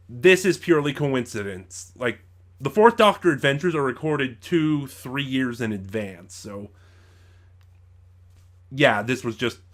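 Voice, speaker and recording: A man hosting an informal review in a conversational, halting way, often circling back to rephrase himself. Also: medium pitch, fairly bright tone. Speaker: reviewer and host of his own channel. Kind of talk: informal review to camera or mic, loosely scripted.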